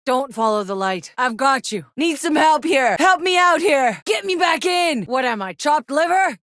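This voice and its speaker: A battle-hardened young female fighter from a sci-fi shooter game. She is sardonic and gritty, quick with profanity and dry wit. The sound is tight and studio-dry, with no room around the voice. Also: on the high side, fairly bright tone, no echo. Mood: urgent. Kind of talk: video game character voice lines.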